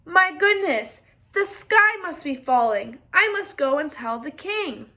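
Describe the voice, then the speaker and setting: A small, anxious storybook hen, acted out by a woman, exclaiming in a high, bright voice. She is fretful and insists on being believed. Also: lively intonation, measured pace, full character voice. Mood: worried. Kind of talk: children's storybook character voice.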